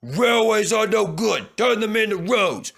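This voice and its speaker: A man's voice: high-pitched, expressive, unhurried, gravelly, a bit bright, pitched above the performer's own voice, exaggerated voice. A man voicing a rude, belligerent steamroller in a children's storybook reading. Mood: scornful.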